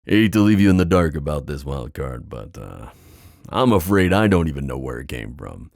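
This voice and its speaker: A man's voice: a bass voice, very animated, gravelly, darkish tone, no echo, clean studio sound, full character voice. A man playing a flirtatious, confident giant sea god in an ASMR roleplay, teasing and theatrical in his delivery. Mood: wistful, candid. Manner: teasing, theatrical, drawn-out phrasing.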